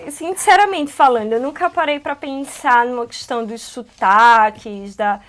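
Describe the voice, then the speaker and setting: A woman's voice: on the high side, normal speed, slight background noise. A woman with a Northeastern Brazilian accent, co-hosting a radio show and musing about poetry, tagging her thoughts with "não é?". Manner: animated, musing, many "não é?" tags. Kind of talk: casual conversation about poetry.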